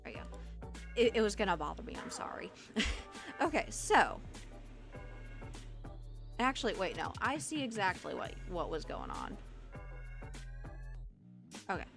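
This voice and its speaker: A woman's voice: medium pitch, wildly swooping pitch, measured pace, vocal fry, pauses often, over music. A woman with a mixed Southern and Midwestern accent, chatting casually as she walks through a livestream tutorial, often restarting her sentences. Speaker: Live2D rigging streamer.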